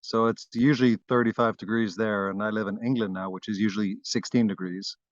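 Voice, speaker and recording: Unhurried man from Barbados, dry and dull. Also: fairly low pitch, steady tone, no echo. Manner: unhurried, modest, with frequent fillers and restarts. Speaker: guest wood carver. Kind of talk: relaxed interview chat.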